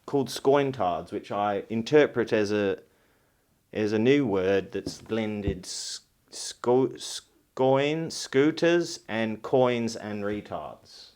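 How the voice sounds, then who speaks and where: A sardonic amateur investigator. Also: male voice, lowish voice, expressive, slow pace, a little mellow. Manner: sardonic, casual, swearing. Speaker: investigator lead. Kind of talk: mockumentary film acting.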